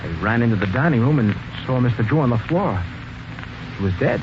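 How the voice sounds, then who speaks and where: An actor playing a nervous, defensive former employee under suspicion, stammering and agitated in 1940s radio mystery style. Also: male voice, medium pitch, expressive, a little fast, noisy background, natural voice. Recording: dull 1940s radio recording with music.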